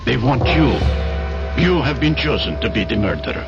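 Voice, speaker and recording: A man's voice: mid-range voice, big pitch swings, normal speed, gravelly, music in the background. An actor playing a ruthless male Soviet spy chief in an old radio drama, speaking in a clipped, formal way. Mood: cold. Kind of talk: 1970s radio drama acting. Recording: dull old radio recording.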